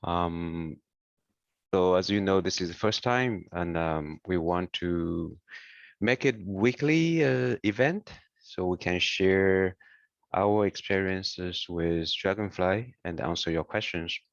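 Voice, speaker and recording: A man who speaks English as a second language, hosting an informal online tutorial in a careful, halting way. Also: low-pitched, gravelly. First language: not English. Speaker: webinar host and software trainer. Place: indoor room, online webinar. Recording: muffled, roomy online call audio.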